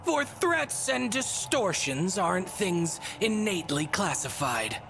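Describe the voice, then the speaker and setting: An actress playing a whimsical, bored god in a video game, speaking in theatrical, teasing rhymed riddles. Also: female voice, lowish voice, big pitch swings, takes it slowly, gravelly, exaggerated voice. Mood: teasing, grandiose. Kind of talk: video game cutscene voice acting. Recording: music under the voice.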